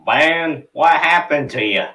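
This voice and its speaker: A man voicing a caring old grandfather, firm and folksy, in an animated series table read. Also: on the high side, takes it slowly, distant mic, pitched above the performer's own voice, full character voice. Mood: astonished. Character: gruff, caring old grandfather, steady and decisive.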